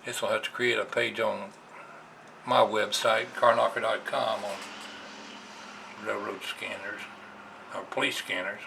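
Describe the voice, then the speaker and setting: A man's voice: lowish voice, fairly even intonation, takes it slowly, vocal fry, long pauses, sharp tone. An American man giving a casual, plainspoken explanation as a hobbyist vlogger, recorded indoors on a camera's built-in microphone. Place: indoors, at a computer. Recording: noisy amateur camera recording.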